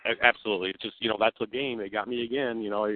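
A man's voice: fairly low pitch, steady tone, fast talker. A man from Wisconsin chatting casually in a folksy way, filling his talk with "you know". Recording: dry narrow phone line.

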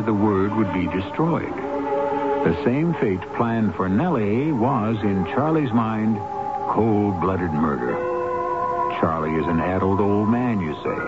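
A man narrating a radio mystery drama as host, in a measured, rhetorical and ominous manner. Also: lowish voice. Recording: dull old radio broadcast with music.

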